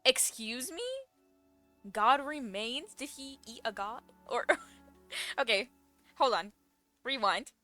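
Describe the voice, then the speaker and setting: A young woman streams a game with casual, reactive commentary. Her voice is rather high and very bright, and game music plays softly behind. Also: slow pace, pauses often. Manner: informal, reactive.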